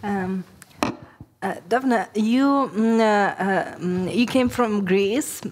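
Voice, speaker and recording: A woman moderates a panel discussion and puts a question to a guest. She speaks hesitantly, filling her pauses with many ums and uhs. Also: medium pitch, darkish tone, slight background noise.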